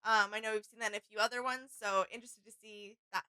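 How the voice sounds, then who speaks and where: A woman's voice: mid-range voice, fairly even intonation, fast, bright, forceful tone, clean tone. A young woman chatting casually as co-host of a reaction, sounding enthusiastic.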